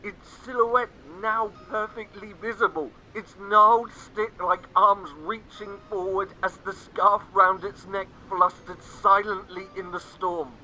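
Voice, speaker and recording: A man narrating a scripted first-person horror story, dramatic and sinking to hushed tones at the tense moments. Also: high-pitched, steady tone, measured pace, hardly any pauses, a little hiss or hum, low-quality recording. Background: faint music.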